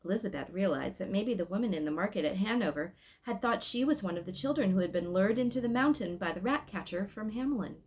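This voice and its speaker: A woman's voice: mid-range voice, expressive, fast, few pauses, darkish tone, husky. A woman hosting a read-aloud, narrating a storybook expressively with casual asides. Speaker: storybook reader, host.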